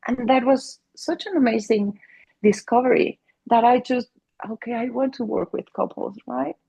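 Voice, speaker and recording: A middle-aged woman with a Mexican Spanish accent answers interview questions, earnest and warmly searching for her words. Her voice sits a bit high and sounds husky and breathy. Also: normal speed, muffled recording. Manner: earnest, many restarts and fillers. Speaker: family therapist. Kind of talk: interview conversation.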